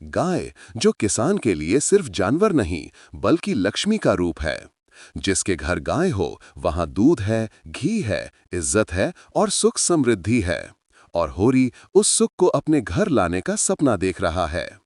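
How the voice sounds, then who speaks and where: A man's voice: on the low side, huge pitch swings, slow pace, a little mellow, dry recording, studio quality. A man narrating a story in a dramatic scripted style, speaking to his listeners as friends.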